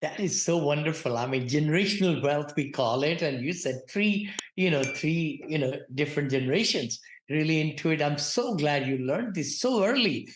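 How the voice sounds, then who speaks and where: A man's voice: on the high side, expressive, normal speed, few pauses, echoey room. A man hosting a podcast interview in a casual, chatty way, leaning on "you know" fillers. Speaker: podcast host. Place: home in the Bay Area.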